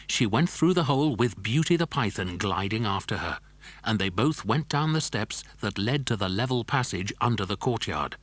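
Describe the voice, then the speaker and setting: A man's voice: lowish voice, animated, normal speed, dry recording. A man narrating a scripted children's adventure story. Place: dry studio.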